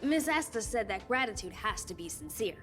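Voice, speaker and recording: A woman's voice: mid-range voice, big pitch swings, fairly quick, fairly bright tone, soft background music. An actress playing a busy, apologetic, kind young woman working on a space station in a video game, speaking earnestly and politely. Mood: flustered, apologetic. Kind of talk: scripted video game dialogue.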